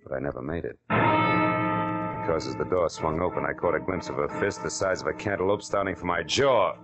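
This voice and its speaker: An actor playing a wry, hard-boiled American private detective in an old-time radio drama, terse and sardonic. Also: male voice, very deep voice, moderate pace. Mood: wry narrating. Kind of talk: 1940s radio noir narration and acting. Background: music.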